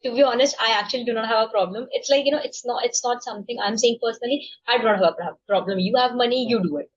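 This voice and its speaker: Husky-voiced woman hosting a chat. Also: fairly high pitch, very fast. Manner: fluent, conversational, persuasive. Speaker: host. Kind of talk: casual conversation. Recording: dull lo-fi sound.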